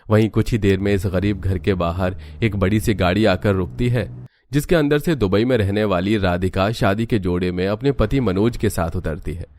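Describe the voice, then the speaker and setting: Low-voiced man narrating a story. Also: moderate pace, darkish tone. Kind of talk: scripted storytelling narration for Hindi cartoon.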